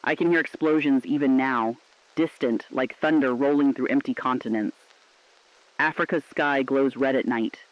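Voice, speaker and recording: A man's voice: medium pitch, fairly even intonation, moderate pace, no echo, close to an everyday voice. Guy playing mournful last radio host at world's end, poetic and earnest, dry slightly dull sound. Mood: mournful, haunted. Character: mournful, defiant last radio broadcaster bearing witness. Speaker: radio broadcaster. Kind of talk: scripted dramatic radio narration.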